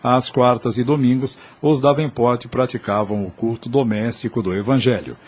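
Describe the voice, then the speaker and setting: A man with a Brazilian accent narrating a scripted audio drama in a husky voice. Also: mid-range voice, fairly even intonation, normal speed, darkish tone.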